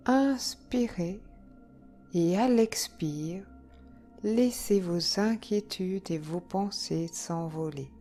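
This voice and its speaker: A woman leading a guided yoga nidra relaxation, speaking calmly and soothingly in short phrases with long pauses. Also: on the low side. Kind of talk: guided relaxation meditation, slow instructions. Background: soft background music.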